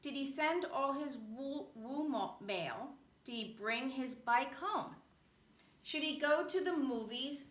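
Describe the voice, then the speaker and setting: A woman reads a children's rhyming picture book with animated, rhythmic expression. Her voice is bright and on the high side, and she takes her time over each phrase. The room adds noticeable echo. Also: slow pace. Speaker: storybook reader. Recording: roomy echo, faint background noise.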